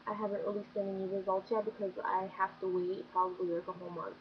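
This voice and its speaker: A woman's voice: medium pitch, little pitch movement, quick pace. A young woman chatting casually about her favorite beauty products, gushing and filling with "like" and "um", her voice a little scratchy from getting sick. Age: young adult. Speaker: beauty vlogger. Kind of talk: casual product review chat. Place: small bedroom. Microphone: built-in webcam mic. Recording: dull lo-fi webcam audio with faint room noise.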